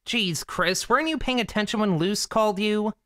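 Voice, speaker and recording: A woman voicing a perky, chatty young squad member in a visual novel, casual and expressive. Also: mid-range voice, normal speed, lightly acted. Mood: chiding.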